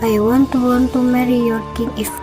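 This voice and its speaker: A woman's voice: a little high, flat delivery, moderate pace, close to an everyday voice. A woman playing a loyal, defiant young princess in an amateur radio drama. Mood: defiant, desperate. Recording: music behind the voice.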